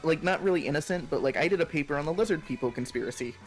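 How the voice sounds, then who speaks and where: A young man chatting casually on a live stream, telling stories in a rambling, halting way full of filler words. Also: a little high, soft background music, rough recording. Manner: rambling, halting storytelling peppered with 'like'. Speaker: stream co-host.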